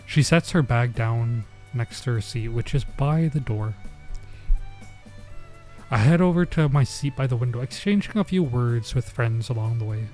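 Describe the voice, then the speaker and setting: A man's voice: medium pitch, lively intonation, moderate pace, darkish tone. A man streaming a visual novel, reading the story aloud and adding informal, bemused asides. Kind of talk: let's play, reading game text aloud with casual reactions. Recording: music under the voice. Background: game music.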